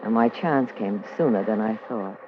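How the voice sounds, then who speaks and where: A woman's voice: a bass voice, normal speed. An actress playing a brazen, scheming, slangy underworld woman in an old-time radio crime drama. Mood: hard-boiled narrating. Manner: brassy, defiant, slangy. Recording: dull lo-fi old radio recording with music.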